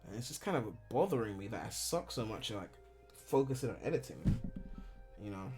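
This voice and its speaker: A man's voice: on the low side, sing-song, a little fast, darkish tone, faint music behind. Rambling young man streaming from home. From Ghana. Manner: rambling and candid, heavy on filler "like", swears freely. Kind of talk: casual live-stream chat answering viewers.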